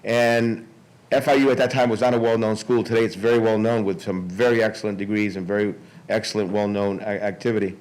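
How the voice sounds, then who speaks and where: A man in his sixties speaks to a conference in an informal way. His voice sits a little low. He moves haltingly through his remarks, doubling back on words and restarting phrases. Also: little pitch movement, a little mellow, low-quality recording. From Miami, United States. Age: 60s. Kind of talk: informal public speech.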